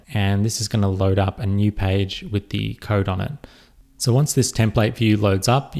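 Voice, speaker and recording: A man giving a relaxed step-by-step software tutorial over a screen recording, with frequent fillers. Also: on the low side, vocal fry.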